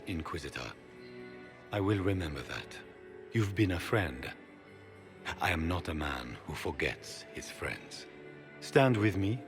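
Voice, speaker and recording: A proud soldier emperor speaks slowly and grandly in a game cutscene. His voice swings widely in pitch as he addresses a court. A long hall reverb surrounds him, with music underneath. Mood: triumphant. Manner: grand, measured speechmaking. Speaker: newly crowned emperor. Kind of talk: video game cutscene acting.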